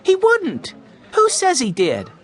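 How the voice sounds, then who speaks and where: A man's voice: extremely high pitch, wildly swooping pitch, moderate pace, sharp tone, pitched above the performer's own voice, exaggerated voice. A man voicing a small, loyal, outspoken storybook boy who speaks earnestly and emphatically. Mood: defiant.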